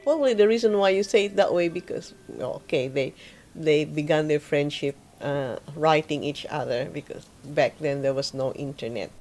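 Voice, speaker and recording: A Filipino woman, a mother, speaking earnestly and with pauses in an interview. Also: low voice, a little mellow. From Philippines. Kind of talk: casual reflective interview.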